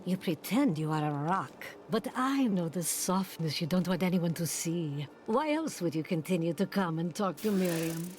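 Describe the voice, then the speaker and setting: An actress playing a motherly, gently teasing mystic seer in a fantasy video game, with a portentous, knowing manner. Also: fairly low pitch, animated, normal speed, hardly any pauses, a little hiss or hum, lightly acted.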